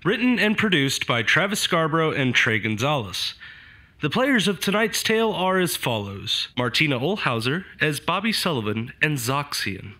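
A man's voice: fairly high pitch, very animated, normal speed, sharp tone. A man reading the closing credits of an audio drama. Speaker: credits announcer. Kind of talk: scripted announcement.

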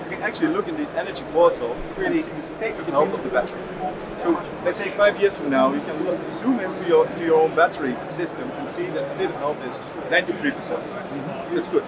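A man in his thirties explains a product at an exhibition stand, his voice a touch high. He trips over and repeats his words as he goes. The recording carries heavy noise from a big indoor hall. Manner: halting, many repeated word restarts. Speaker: company representative. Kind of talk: product demonstration at a trade stand.

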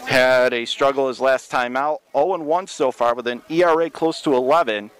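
A young man calling radio play-by-play for a college baseball game, steady and measured, jumping up in pitch on big plays. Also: smooth, low-quality recording.

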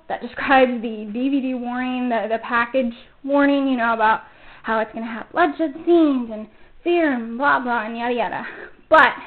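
A woman hosting a casual solo fan podcast, talking in a gushing, enthusiastic fangirl manner. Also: high-pitched. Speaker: podcast host. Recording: muffled phone-like narrow-band sound.

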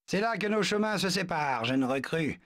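A man voicing a bossy, military-minded male zoo animal, brisk and self-important, in a video game dub. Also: high voice, expressive, fairly bright tone. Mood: commanding.

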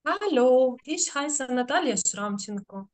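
A Ukrainian woman in her thirties, a language student, answering hesitantly in a halting beginner's way. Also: sits high, animated, a little mellow. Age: 30s. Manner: hesitant, halting learner speech.

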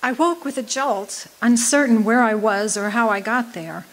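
A woman's voice: fairly high pitch, normal speed, natural voice, low-quality recording. Woman playing a bewildered stranded traveller. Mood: bewildered, reflective. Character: bewildered woman stranded travelling alone, recounting her awakening. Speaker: actress. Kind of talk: radio drama monologue.

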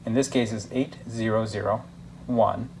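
A man's voice: fairly low pitch, steady tone, normal speed, darkish tone, poor audio. A man narrating a casual hands-on product tutorial as a demonstrator, explaining things step by step in an instructional way. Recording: clear background noise, room ambience.

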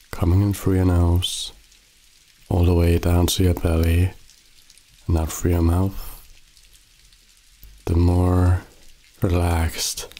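A man with a very low, mellow voice leads a sleep meditation, speaking slowly and softly with a flat, even delivery. Rain patters steadily behind him. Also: rough recording. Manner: slow, soothing, calm instructions. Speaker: meditation guide. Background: rain sounds.

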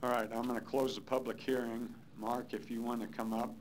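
A man's voice: medium pitch, little pitch movement, fairly quick, poor audio. A man chairing a public hearing in a council chamber, moderating in short procedural phrases. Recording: clear background noise, public meeting room.

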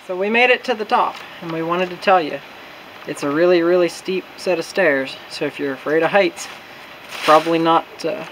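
A man's voice: fairly high pitch, moderate pace, fairly bright tone, slight background noise, rough recording. A man in his thirties chatting casually in a travel vlog, easygoing and rambling with fillers, filmed in a small camper and on a trail. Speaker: travel vlogger. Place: inside a small camper, then outdoors on a hill trail.

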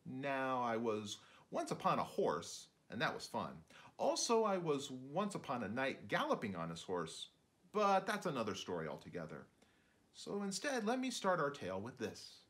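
Animated, chatty shrew storyteller played by a man. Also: mid-range voice, normal speed. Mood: chatty, playful. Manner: conversational, digressive, addresses the listener directly. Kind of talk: storybook narration, read aloud.

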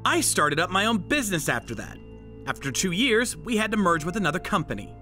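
A man's voice: high-pitched, very animated, a little fast, bright, forceful tone, faint music behind, full character voice. A man playing an earnest, easily flustered young groom who narrates and speaks in a manga dub. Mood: explaining.

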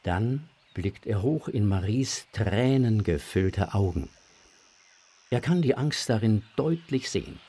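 A man with a husky voice, narrating a scripted children's story expressively. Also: fairly low pitch, expressive, slow pace, mellow.

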